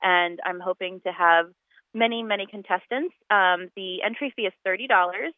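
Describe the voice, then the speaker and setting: A woman's voice: medium pitch, moderate pace, no echo. Chatty woman on a tinny phone line. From Poland. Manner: chatty, with many ums and restarts. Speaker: guest fundraiser organizer. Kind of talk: casual radio interview by phone.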